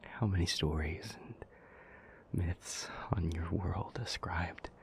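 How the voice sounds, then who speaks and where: Male actor as grave demon lover for audio roleplay: deep, slow, half-whispered, troubled but powerful. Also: creaky, slight background noise, heavily acted. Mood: brooding, doubtful. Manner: slow, deliberate, halting phrases. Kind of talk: intimate audio roleplay acting.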